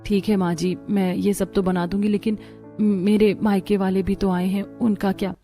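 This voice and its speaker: Meek, dutiful young daughter-in-law played by a woman, mid-pitched, cartoon drama, faint music. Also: little pitch movement, normal speed, dark tone, close to an everyday voice. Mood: meek, polite. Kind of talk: cartoon story dialogue.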